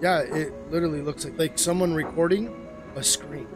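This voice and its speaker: Excitable male gamer chatting. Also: on the high side, a little fast, music in the background. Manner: excitable, chatty, easily surprised. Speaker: gaming channel host. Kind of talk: casual gameplay chat.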